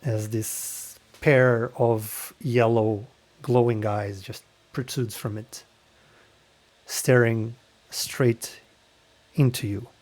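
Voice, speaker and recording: Guy narrating a d&d session real slow, halting, pausing a lot, mid pitch. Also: steady tone, a little mellow. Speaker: dungeon master. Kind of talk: narrating a tabletop game.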